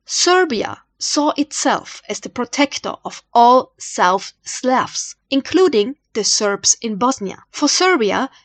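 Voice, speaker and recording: Austrian woman narrating history, high voice. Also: sing-song, takes it slowly, few pauses, no room sound. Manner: dramatic, uses rhetorical questions. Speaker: history channel host.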